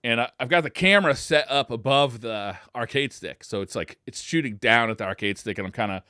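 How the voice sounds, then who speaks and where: A man's voice: medium pitch, fairly quick, sharp tone, clear, resonant tone, no room sound, studio quality. A middle-aged man co-hosting a podcast, telling stories in a casual, self-deprecating and readily amused way. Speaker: podcast co-host. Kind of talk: casual chat and storytelling.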